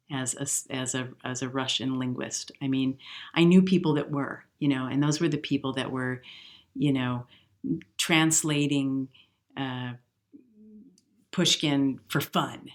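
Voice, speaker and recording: A woman in her fifties from the US Midwest, a jazz singer telling her story in an interview, reflective and earnest. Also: rumbling low. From Milwaukee, US Midwest. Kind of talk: conversational interview.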